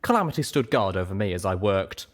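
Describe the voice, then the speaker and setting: Low-voiced, very animated male story narrator. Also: fast talker. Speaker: audiobook reader. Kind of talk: expressive first-person scripted narration.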